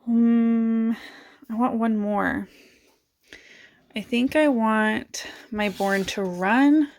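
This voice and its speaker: A woman beauty vlogger chatting casually about her makeup, raspy as she loses her voice and thinks aloud in a self-mocking way. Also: fairly high pitch, extremely slow, darkish tone.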